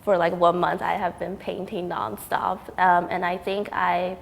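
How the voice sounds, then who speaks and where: Young woman from Taiwan, low gravelly voice, laid-back interviewee, echoing hall. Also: measured pace. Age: young adult. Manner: relaxed, frequent ums and likes. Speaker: student artist interviewee. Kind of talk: casual interview talk. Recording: reverberant hall with faint noise.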